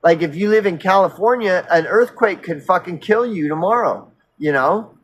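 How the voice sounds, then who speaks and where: A man of fifty on an unscripted personal rant, earnest and insistent, halting and repeating himself as he circles back mid-sentence, casually profane. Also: high voice, lively intonation, husky, dull audio. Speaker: recovery vlogger.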